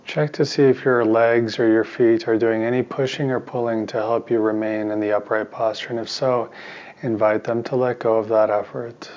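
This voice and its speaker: A man guides a meditation in a soft, mid-pitched voice with very little melodic movement. He gives calm, soothing instructions in short phrases with space between them. The recording is somewhat muffled and lo-fi. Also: normal speed. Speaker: meditation teacher and therapist.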